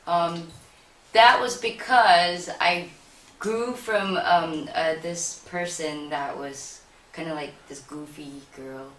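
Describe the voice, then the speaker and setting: A woman from Singapore, a guest in a casual interview, telling stories in a lively, playful way. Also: lowish voice, slow. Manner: lively, playful, animated storytelling. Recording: roomy echo, mic at a distance, faint background hiss.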